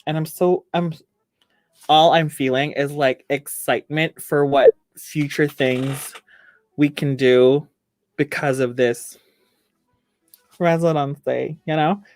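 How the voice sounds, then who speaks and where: Eager woman, unhurried talk. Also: sits low, fairly even intonation, darkish tone, no echo. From Philippines. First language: Filipino. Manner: earnest, enthusiastic, many fillers like "like" and "you know". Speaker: dance company member. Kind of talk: casual group chat.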